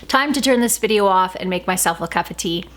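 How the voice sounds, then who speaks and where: A woman's voice: mid-range voice, fast. Chatty woman with a sore throat. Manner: chatty, relaxed, with filler ums. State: sore throat, losing her voice. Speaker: vlogger cooking at home. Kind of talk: casual cooking vlog chat. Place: home kitchen.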